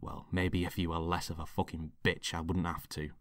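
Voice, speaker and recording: A man acting a deep-voiced controlling boyfriend. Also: little pitch movement, normal speed, no echo, studio quality, natural voice. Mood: low, menacing. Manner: colloquial, calls listener love, cajoling then bickering. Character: controlling boyfriend, mock-affectionate, prone to sudden indignant rage. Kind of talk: dramatic monologue in an audio story.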